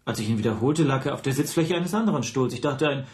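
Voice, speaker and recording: An earnest, defensive man under questioning, played by a male actor in a naturalistic radio drama. His medium-pitched voice is plain and precise, quick to take offence. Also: animated, close to an everyday voice. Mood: cooperative, defensive. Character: earnest, indignant detained language teacher, an innocent man.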